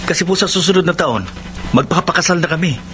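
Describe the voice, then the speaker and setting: Guy as respectful grown son in a radio drama, slightly high voice, apologetic, noise behind him. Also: quick pace, fairly bright tone, lightly acted. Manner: polite, apologetic, explaining. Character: dutiful, meek grown son, respectful and burdened. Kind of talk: radio drama acting in Filipino.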